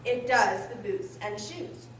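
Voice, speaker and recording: In an echoey room, a know-it-all old gryphon acted by a woman gives brisk orders and explanations in a fairly high voice. The radio-drama performance is picked up from a distance, with faint noise. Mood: smug. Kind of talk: amateur radio drama acting.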